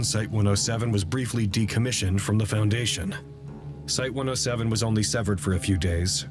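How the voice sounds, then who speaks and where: An actor playing a measured scientist recording a log in an audio drama, speaking in a deliberate, dry way. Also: male voice, fairly low pitch, lightly acted. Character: measured male scientist recording logs about an anomaly. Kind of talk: scripted audio drama narration.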